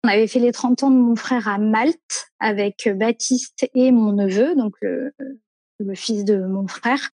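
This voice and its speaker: Woman in her thirties, from France, mellow, rambling with fillers, dry close sound. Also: fairly high pitch, unhurried, dry recording. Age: 30s. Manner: rambling, many fillers and self-corrections. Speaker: guest. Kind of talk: casual conversational interview.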